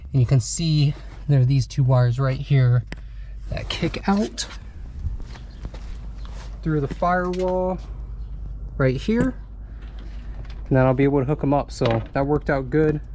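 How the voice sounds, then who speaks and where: A young man casually narrating a how-to vlog while installing a radio in his pickup, relaxed and chatty with frequent pauses. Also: a little high, a little hiss or hum.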